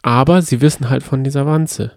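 Male podcast co-host, gravelly and mellow, chatty and eager. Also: mid-range voice, fairly even intonation, moderate pace, no room sound, studio quality. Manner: enthusiastic retelling, often restarts sentences. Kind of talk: casual chat.

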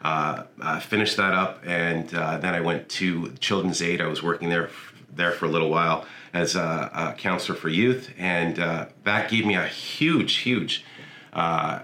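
A man in his forties with a very low voice and a bright, forceful edge to it. He talks in a friendly, rambling way, restarting and filling his sentences. The room around him sounds echoey. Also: a little slow, a little hiss or hum. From Canada. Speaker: podcast guest. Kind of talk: casual interview chat.

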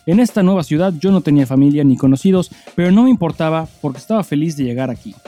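A man's voice: a little high, expressive, quick pace, mellow, soft background music. A man with a Mexican accent co-hosting a casual podcast, talking in loose, sweary slang as he chats and reads listener mail. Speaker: podcast co-host.

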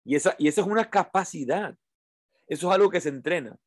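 Higher-voiced man teaching informally. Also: expressive, quick pace, dry recording, studio quality. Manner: didactic, repeats phrases for emphasis. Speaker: meditation teacher. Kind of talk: informal spoken teaching to an online audience.

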